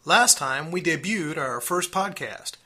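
A man's voice: a little high, lively intonation, measured pace, bright, forceful tone. A middle-aged man hosting a podcast, delivering an upbeat intro and reading character backstories in a theatrical way. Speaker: podcast host.

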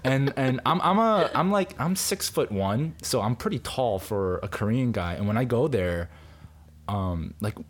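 A young man, a podcast guest, telling lively stories in casual chat, full of fillers and acted-out quotes. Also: mid-range voice, unhurried. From United States. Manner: animated storyteller, many fillers, acts out quoted lines.

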